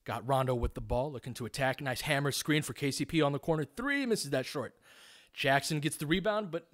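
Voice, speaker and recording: A man's voice: medium pitch, brisk, fairly bright tone. Man calling live basketball play-by-play. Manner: clipped phrases, analytical, informal. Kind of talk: live play-by-play commentary with tactical analysis.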